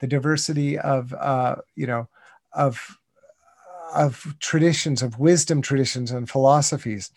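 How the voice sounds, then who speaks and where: A man's voice: on the high side, gravelly, no echo, studio quality. An American man in his sixties speaking as a guest in an interview, thoughtful and earnest, often restarting with "you know". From United States. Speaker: guest, contemplative writer. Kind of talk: reflective interview talk.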